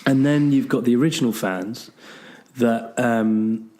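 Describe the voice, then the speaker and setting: Bloke, podcast co-host, nursing a cold, voice going, rambling talk. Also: male voice, medium pitch, unhurried, mellow. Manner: rambling, full of ums and restarts. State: has a bad cold, losing his voice. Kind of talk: casual chat.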